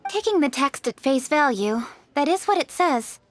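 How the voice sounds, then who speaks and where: A woman's voice: high voice, animated, normal speed, a little hiss or hum. A woman playing a composed, studious young noblewoman in a video game, speaking formally and articulately. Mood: composed, analytical.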